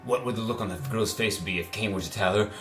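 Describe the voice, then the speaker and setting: A man's voice: lowish voice, quick pace, faint music behind. A man co-commentating on a video game in casual, playful banter, joking his way through bits of dialogue. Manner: playful, goofy. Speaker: let's play co-commentator.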